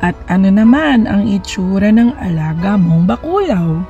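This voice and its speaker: A woman's voice: medium pitch, expressive, moderate pace, mellow, recorded from a distance, highs cut off, soft background music, lightly acted. A woman playing a doting, inquisitive mother talking to her small child in a storybook. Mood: curious. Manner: inquisitive, indulgent.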